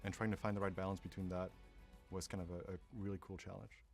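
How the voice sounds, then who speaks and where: A man's voice: low-pitched, little pitch movement, fast talker, gravelly, darkish tone, soft background music. A man speaking as a composer in a behind-the-scenes documentary interview.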